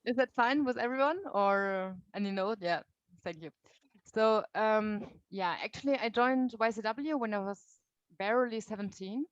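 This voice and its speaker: A young woman with a German accent speaks in a friendly, unhurried way and often pauses on fillers. The sound is dry and close, with a dull, lo-fi tone from a call microphone. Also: mid-range voice, dry recording. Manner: friendly, searching for words with many fillers. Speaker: guest speaker. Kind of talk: online talk to a meeting. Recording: dull lo-fi audio.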